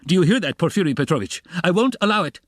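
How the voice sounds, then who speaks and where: A man's voice: medium pitch, lively intonation, moderate pace, no room sound, clean studio sound, pitched above the performer's own voice, natural voice. A man voicing a proud, feverish young man under suspicion in an audiobook, indignant, vehement and defiant. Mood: furious, defiant.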